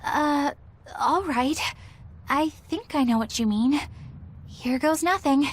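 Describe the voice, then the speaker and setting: A woman's voice: sits high, takes it slowly. A woman playing an earnest, modest, easily flustered young woman in an anime-style video game, speaking with some hesitation. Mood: flustered, hesitant.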